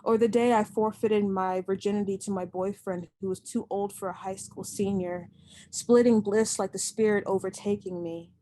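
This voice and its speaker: A young woman reads her poetry at home over a computer mic, her voice sitting at an ordinary middle pitch. She recites slowly and deliberately and gives each line its weight. Between poems she drops into loose, chatty remarks. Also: darkish tone. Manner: slow, measured, deliberate recitation. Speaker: poet and host of the reading. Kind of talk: poetry reading.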